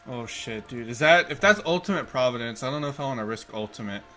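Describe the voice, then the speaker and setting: A man in his twenties giving casual gameplay commentary from a room, thinking out loud and swearing in quick bursts of excitement. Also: mid-range voice, soft background music. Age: 20s. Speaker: streamer playing a card game. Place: room indoors.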